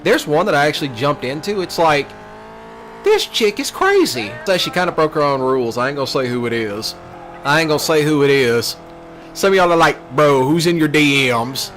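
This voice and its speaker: A man's voice: fairly high pitch, moderate pace, faint music behind. A man with a Southern US accent, a streamer rambling casually in a folksy, brash way with rural slang, often repeating himself. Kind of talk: casual rambling rant over game play. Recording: noisy, with steady vehicle sounds behind.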